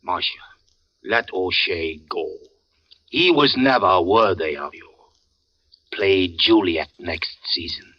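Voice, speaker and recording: A man's voice: sits low, lively intonation, slow, pauses often, exaggerated voice. An actor playing a proud, fussy, devoted old father in a 1940s radio drama, speaking in a grandiloquent, pleading manner. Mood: earnest pleading. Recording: muffled old radio broadcast recording.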